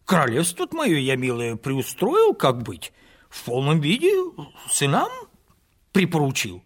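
A man voicing a humble, poor old male peasant in his sixties who drops to whispers and rises to high, excited outbursts in folksy rustic speech. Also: a little slow, exaggerated voice. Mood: proud. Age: 60s.

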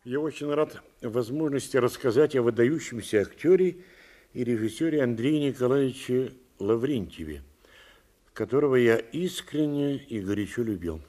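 A man's voice: medium pitch, expressive, moderate pace, warm tone. A man, an actor, giving an earnest, emphatic prepared reminiscence about a fellow actor. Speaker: actor recalling a colleague.

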